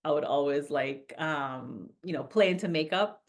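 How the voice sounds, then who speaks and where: A woman's voice: low voice, normal speed, vocal fry. A woman from Trinidad, a makeup artist guest, talking earnestly and telling a story. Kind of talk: interview conversation.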